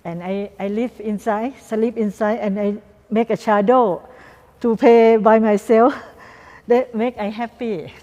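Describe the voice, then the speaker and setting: A woman from Thailand with a Thai accent, a guest artist answering interview questions in halting, simple non-native English and repeating words. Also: fairly high pitch, steady tone, dark tone, lo-fi sound. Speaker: guest artist and doll maker. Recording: reverberant room.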